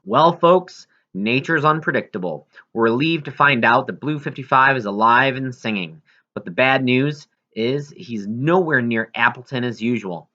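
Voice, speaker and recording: A man's voice: medium pitch, moderate pace, sharp tone, dull audio, natural voice. A man reading aloud as a folksy animal sanctuary staffer announcing a public update in an audiobook. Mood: upbeat, informative.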